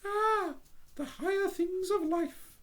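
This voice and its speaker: A storyteller voicing a chatty, peaceful female duck in a very high, sing-song squeak. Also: slow, a bit bright, slight background noise, full character voice. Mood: placid. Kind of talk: storybook reading.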